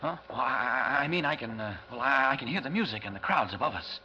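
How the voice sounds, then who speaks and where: An earnest, determined reporter hero in an old radio serial. His voice is very bright and forceful, with animated swings in pitch and a medium register. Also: fast, highs cut off, slight background noise. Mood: guarded. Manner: earnest, hesitant when covering slips. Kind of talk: 1940s radio acting.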